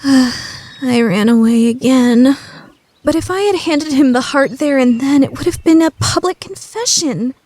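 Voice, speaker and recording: A woman voicing a prim, calculating high school girl in an anime fan radio play, with polite, formal diction. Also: a little slow, darkish tone, no room sound. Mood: hesitant.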